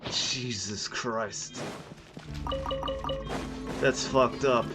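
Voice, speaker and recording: A man streams a game with casual, blunt commentary. He talks slowly in a mid-range voice, and game music plays clearly behind him. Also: mid-range voice, steady tone. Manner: blunt, swearing freely. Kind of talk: casual gameplay reaction commentary.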